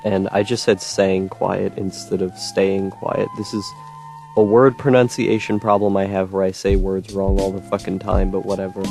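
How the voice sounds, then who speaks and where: A young man talking to camera in a rambling monologue with a droning, monotone voice, full of ums, restarts and frustrated swearing. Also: low voice, normal speed, vocal fry, faint music behind. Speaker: YouTuber. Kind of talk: personal monologue.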